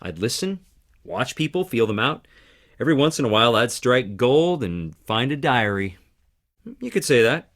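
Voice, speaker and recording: A man's voice: medium pitch, big pitch swings, normal speed, fairly bright tone. A man playing a wry, guarded young theatre worker in an adventure game, speaking conversationally. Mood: guarded, reflective. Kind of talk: scripted adventure game dialogue.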